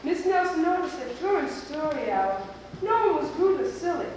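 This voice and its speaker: High-voiced female storyteller in echoey hall. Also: big pitch swings, normal speed, darkish tone. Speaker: narrator. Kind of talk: scripted story narration on stage. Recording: noisy, echoey hall, far from microphone.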